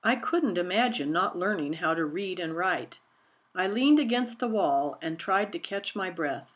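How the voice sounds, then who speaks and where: A woman's voice: fairly low pitch, expressive, measured pace, lo-fi sound. A woman narrating a children's picture book in a scripted read-aloud style.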